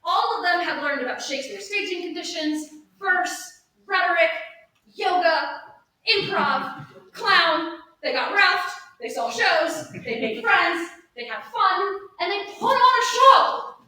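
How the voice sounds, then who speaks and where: A woman's voice: very high voice, slow pace, pushed and bright. A woman giving a projected pre-show welcome speech to a live theater audience, with wry asides. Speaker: host introducing a stage performance. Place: indoor playhouse, before live audience. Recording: echoey theater, distant mic, noisy.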